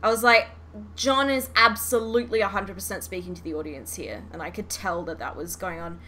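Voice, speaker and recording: Bright-voiced woman, noisy podcast recording. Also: mid-range voice, fairly quick. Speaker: podcast host. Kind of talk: casual fan chat reviewing a show.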